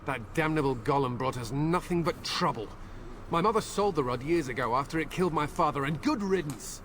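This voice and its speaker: A man's voice: fairly high pitch, expressive, fairly quick, a bit bright, a little hiss or hum. An actor playing an anxious, bitter middle-aged village man pleading earnestly for his daughter in a video game. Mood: bitter, grieving. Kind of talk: video game dialogue acting.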